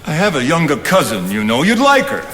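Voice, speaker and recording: A man's voice: on the high side, wildly swooping pitch, fairly quick, pushed and bright, full character voice. A man acting on stage as a fatherly, teasing older friend and mentor, with an amused, expansive delivery. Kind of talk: theatrical stage play acting. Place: on stage in a theatre. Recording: echoey theatre stage, faint background noise.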